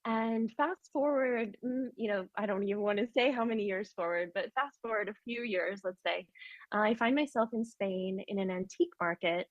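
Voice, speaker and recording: A woman's voice: medium pitch, normal speed, no room sound, dull audio. An American woman, a business founder, talking in a friendly, rambling way as a podcast guest over a remote call. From United States. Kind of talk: casual interview talk.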